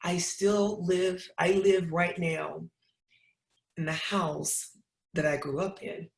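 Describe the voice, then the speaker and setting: A woman's voice: on the low side, steady tone, slow pace, gravelly. Middle-aged woman, speaker at a talk, emphatic preacher-like delivery, roomy hall sound. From Knoxville, Tennessee. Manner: preacherly, repetitive emphatic storytelling. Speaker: guest speaker. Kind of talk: storytelling talk. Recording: roomy echo.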